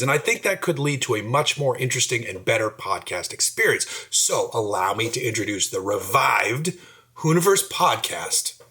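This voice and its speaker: A man making a casual, unscripted podcast announcement in an informal, halting way. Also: medium pitch, fairly bright tone, slightly rough. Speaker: podcast founder introducing new hosts.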